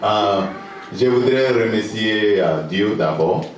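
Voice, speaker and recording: A man from Ghana with a Ghanaian accent, a native English speaker, preaching as a pastor in halting, hesitant second-language speech, pausing for an interpreter. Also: fairly low pitch, muffled recording. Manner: halting, hesitant French with fillers. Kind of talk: sermon with pauses for interpreter. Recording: echoey church hall from far off, faint noise.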